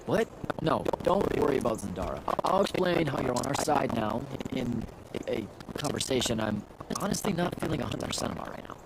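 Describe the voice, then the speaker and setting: A man's voice: mid-range voice, very animated, a little fast, creaky, heavy background noise. A man playing an impulsive, earnest young battlemage in a video game, casual and blunt. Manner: casual, blunt, pleading at times. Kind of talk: naturalistic video game acting.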